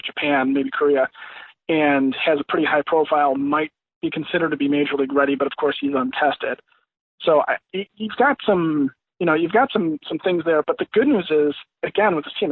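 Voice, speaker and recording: A middle-aged man giving casual sports analysis as a podcast guest, haltingly, as if thinking aloud. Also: a little high, dry recording. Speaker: podcast guest, baseball pundit. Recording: dry, dull lo-fi connection.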